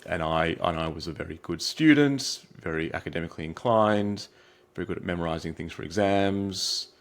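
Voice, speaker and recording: A man's voice: sits low, a little fast. An Australian man as an interview guest, talking in a reflective way with many restarts and fillers. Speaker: guest, psychiatric trainee. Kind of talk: interview answers.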